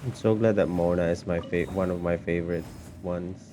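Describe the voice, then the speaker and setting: A man giving casual, low-key, hesitant livestream commentary while he plays a video game. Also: fairly even intonation, fairly quick, dark tone. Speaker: game streamer. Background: game music.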